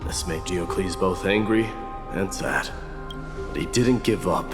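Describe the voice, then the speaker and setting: A man playing a grave, proud warrior who tells an old legend in a measured, formal way for a video game. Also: low-pitched. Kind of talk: dramatic video game storytelling. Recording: reverberant hall, music behind.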